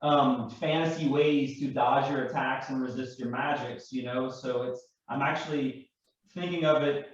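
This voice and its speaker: A mid-pitched man explains his hobby informally and with emphasis, often checking that he is understood. The recording is muffled and distant, swimming in room echo. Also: fairly even intonation, moderate pace. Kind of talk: casual, animated explanation with acted-out examples. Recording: very echoey, dull, distant room audio.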